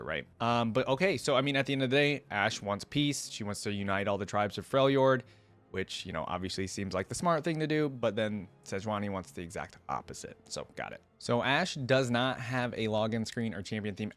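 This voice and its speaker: A man hosting a casual reaction video, musing aloud in an informal way. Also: mid-range voice. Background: music from the video being watched.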